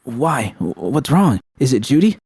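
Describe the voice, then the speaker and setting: A man voicing a troubled woman mercenary in a story, anxious and halting in speech. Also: medium pitch, big pitch swings, creaky, darkish tone, husky, dry recording, voice pushed up, exaggerated voice. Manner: halting, hesitant.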